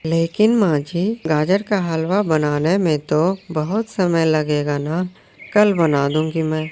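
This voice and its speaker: A man voicing a young daughter-in-law sparrow who pleads, stammers and sometimes talks back in a high, squeaky voice. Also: takes it slowly, darkish tone, slight background noise. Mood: pleading timid. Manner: pleading, stammering when nervous.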